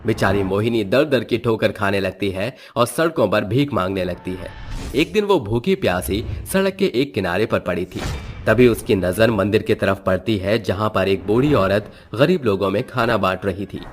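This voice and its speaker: A man's voice: fairly low pitch, animated, normal speed. A man narrating a scripted moral story as a storyteller. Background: background music.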